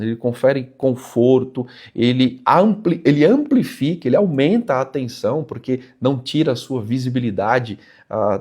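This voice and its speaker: A Brazilian man with a mid-pitched voice talks directly to his viewers. He explains and advises in a didactic vlog style, leaning on key words and restating them for emphasis. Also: a little mellow. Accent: Brazilian Portuguese. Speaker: motorcycle vlog host. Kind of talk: explanatory advice talk to viewers.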